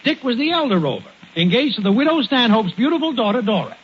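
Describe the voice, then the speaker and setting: An American actor playing an opinionated, cheerfully argumentative suburban neighbor in a 1940s radio comedy, speaking emphatically. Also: male voice, extremely high pitch, big pitch swings, fast talker. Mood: argumentative, scoffing. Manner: emphatic, contradicting. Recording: noisy, muffled 1940s radio recording.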